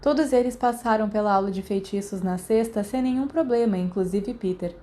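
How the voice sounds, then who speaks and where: A woman's voice: medium pitch, brisk, warm tone. A woman with a Brazilian accent reading scripted audiobook narration. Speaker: podfic narrator.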